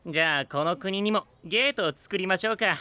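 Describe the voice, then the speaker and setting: An actor playing a polite but sly young knight in an anime voice drama, breezy and playful. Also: male voice, high voice, fairly quick, bright, forceful tone.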